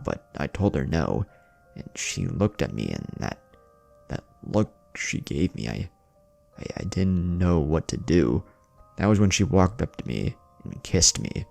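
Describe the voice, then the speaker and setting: A man with a very deep voice delivers dramatic horror narration in the role of a haunted, lovestruck youth. His pitch moves expressively as he broods and halts for effect. Soft music plays behind him. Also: gravelly. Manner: brooding, halting, with dramatic pauses. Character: haunted, lovestruck teenage boy confessing to crimes. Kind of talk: dramatic first-person scripted narration.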